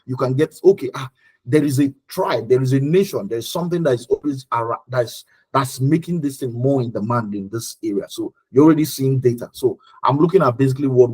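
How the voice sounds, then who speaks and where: Husky Nigerian man teaching. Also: medium pitch, a little mellow. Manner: repeats phrases for emphasis. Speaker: teacher leading an online study group. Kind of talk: informal live lecture with check-in questions.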